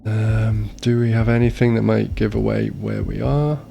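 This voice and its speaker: A man's voice: on the low side, fairly even intonation, moderate pace, creaky, dark tone. A man talking through a geography game, muttering his thoughts, his voice strained as if a cold is coming on. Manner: muttering thoughts aloud while searching. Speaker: streamer playing a geography game. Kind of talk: casual gameplay commentary.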